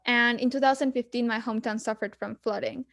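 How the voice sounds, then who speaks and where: A young woman from Mexico, answering as a guest activist in an earnest, measured way with frequent pauses and ums. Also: fairly high pitch, fairly even intonation, fairly bright tone, no room sound. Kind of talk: panel discussion answers.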